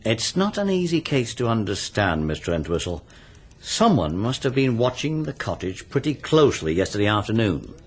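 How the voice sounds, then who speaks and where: An actor playing a practical, matter-of-fact English police inspector making official inquiries in a radio mystery drama. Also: male voice, on the low side, big pitch swings, normal speed, breathy edge. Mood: practical, inquiring.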